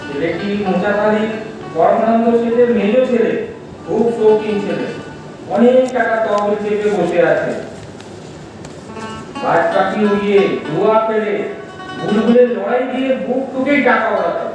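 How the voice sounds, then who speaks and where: An anxious, soft-hearted uncle, played by a man, earnest and placating in a high male voice. The stage-drama delivery is picked up from far off in a very echoey hall. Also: moderate pace, pauses often, lightly acted. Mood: explaining. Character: tender, anxious, hen-pecked middle-aged uncle. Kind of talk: Bengali stage audio drama acting. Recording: echoey hall, distant mic, music behind.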